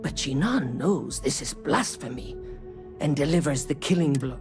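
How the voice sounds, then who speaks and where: An actor playing a fanatical serpent-cult prisoner in a video game, reciting prophecy in a solemn, cryptic, breathy manner. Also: male voice, on the high side, animated, music in the background, lightly acted. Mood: solemn, reverent. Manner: slow, solemn, cryptic preaching. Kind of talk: video game dramatic monologue.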